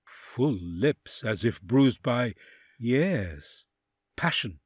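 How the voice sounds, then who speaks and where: Guy doing anxious wide-eyed envoy telling his story, audio drama, bit husky, very dry close sound, theatrical. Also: medium pitch, animated, drawn-out words, no room sound, full character voice. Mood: nervous, hushed. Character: awed, anxious envoy narrating his audience with a Duke. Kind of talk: dramatic first-person audio drama narration.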